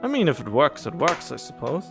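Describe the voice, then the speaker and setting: Higher-voiced man rambling on a game stream. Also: big pitch swings, fairly quick, creaky, over music. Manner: rambling, self-correcting, musing. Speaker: video game livestreamer. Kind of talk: casual chat, thinking aloud about game strategy.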